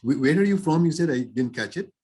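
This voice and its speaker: A man with an Indian English accent, hosting an online class as lecturer and speaking in a halting way with many fillers, over a video call. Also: a little high, expressive, dark tone, breathy edge. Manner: halting, lots of uh and restarts.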